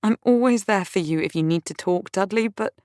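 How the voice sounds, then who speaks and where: A woman's voice: mid-range voice, darkish tone, dry recording, studio quality, voice pushed up, lightly acted. A woman voicing a patient friend who speaks carefully and holds back her temper. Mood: restrained. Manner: measured, restrained.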